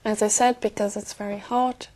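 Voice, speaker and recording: Mellow-voiced young woman. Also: medium pitch, flat delivery, moderate pace. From Brazil. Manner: hesitant, with many fillers and soft laughs. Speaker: exam candidate.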